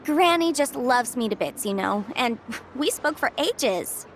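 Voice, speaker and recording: A woman voicing a chirpy, mischievous young half-immortal legal consultant with horns in a video game, talking in a playful, breezy, animated way. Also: high-pitched, measured pace, slight background noise. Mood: flustered, evasive.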